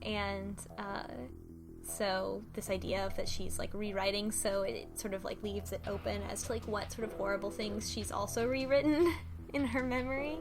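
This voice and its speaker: A woman's voice: mid-range voice, steady tone, normal speed, music in the background. A woman co-hosting a casual discussion, recapping plot in a thoughtful way with many fillers. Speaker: podcast co-host. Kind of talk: casual discussion of a visual novel.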